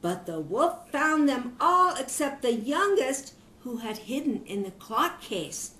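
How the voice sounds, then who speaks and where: An older woman, a grandmother, reading a children's story aloud in an expressive storytelling manner. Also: sits high, slow. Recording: echoey room.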